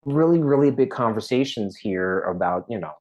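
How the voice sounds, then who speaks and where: A man in his forties from the US East Coast, speaking as an interview guest in a casual, halting way with many restarts. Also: medium pitch, highs cut off. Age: 40s. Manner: halting, many restarts, lively when enthused. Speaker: documentary artist guest.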